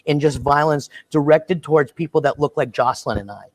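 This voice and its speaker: A man chatting casually as a panel guest, earnest and halting, with many restarts. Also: fairly high pitch, darkish tone. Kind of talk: casual chat.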